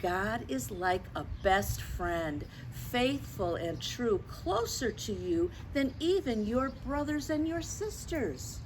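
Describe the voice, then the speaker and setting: Older woman reading expressively, slow and lilting. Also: on the high side, a little hiss or hum, rough recording. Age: 60s or older. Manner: gentle, expressive, addressing children with tag questions. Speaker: children's storyteller. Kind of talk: picture book read-aloud to children with gentle asides.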